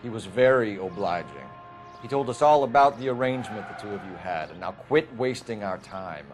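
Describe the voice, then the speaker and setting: An actor playing a brisk, authoritative 1940s police detective with an American accent in a video game. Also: male voice, on the low side, expressive, faint music behind, close to an everyday voice. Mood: stern, accusing. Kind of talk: video game cutscene acting, interrogation and partner banter.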